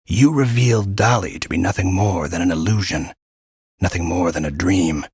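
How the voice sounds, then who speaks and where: An actor playing a folksy, wry mentor and guide in a fantasy video game, with a gruff, husky, drawling delivery. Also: male voice, deep voice, normal speed, darkish tone, no echo, clean studio sound, heavily acted. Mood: satisfied, resolute. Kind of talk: scripted game character narration.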